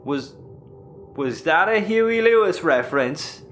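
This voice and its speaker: Animated, roguish Irish space captain. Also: male voice, on the high side, takes it slowly, slight background noise. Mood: playful. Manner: wry, sweary, animated. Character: roguish sarcastic Irish male space captain with a soft heart. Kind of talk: sci-fi audio comedy acting.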